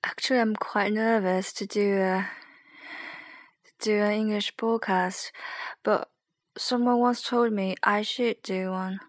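A young woman with a Chinese accent, rambling hesitantly and softly in a late-night solo podcast. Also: mid-range voice, fairly even intonation, measured pace, long pauses, no echo. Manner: hesitant, rambling, soft and sleepy. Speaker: podcast host.

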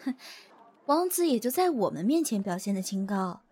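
A woman's voice: medium pitch, big pitch swings, normal speed, warm tone, lightly acted. A woman playing a catty office gossip in a modern audio drama, snide and insinuating. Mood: snide curiosity.

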